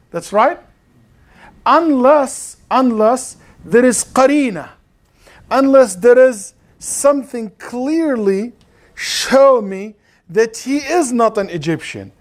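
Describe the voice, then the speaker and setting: A man with an Arabic accent teaches an interactive religious lesson as an Islamic lecturer, explaining didactically and checking understanding with questions. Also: sits high. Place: live class at an Islamic center. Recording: clearly noisy live room recording.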